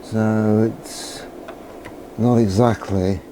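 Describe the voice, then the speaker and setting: A man's voice: on the low side, fairly even intonation, extremely slow, noisy background. A man thinking aloud in an understated, musing way as he gives a casual hands-on electronics demonstration, often putting questions to himself. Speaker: electronics hobbyist presenter.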